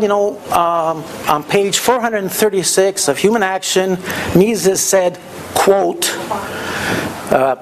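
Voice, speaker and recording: A man from Hungary gives an informal keynote talk, telling stories conversationally and posing rhetorical questions. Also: sits high. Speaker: keynote speaker. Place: symposium venue, indoors. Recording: noisy live room recording, background chatter.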